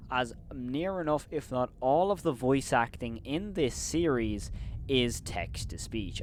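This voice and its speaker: A man hosting a commentary video, giving opinionated criticism, leaning on "of course" and "in my opinion" and turning loud and incredulous when he rants. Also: medium pitch, slight background noise. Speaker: host and reviewer.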